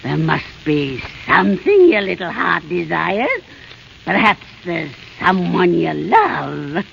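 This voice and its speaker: Wicked old granny witch, heavy gravelly croak, gloating and wheedling, scratchy muffled 1930s radio recording. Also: fairly low pitch, big pitch swings, a bit bright, exaggerated voice. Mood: coaxing. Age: older. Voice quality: croaky, cackling old crone voice. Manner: wheedling, sly, gloating, drawn-out. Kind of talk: 1930s radio fairy-tale acting.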